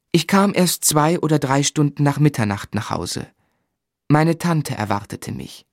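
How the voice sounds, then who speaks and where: A male actor playing an earnest, gently exasperated young husband in a literary radio play, measured and articulate. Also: mid-range voice, dry recording, studio quality, natural voice. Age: young adult.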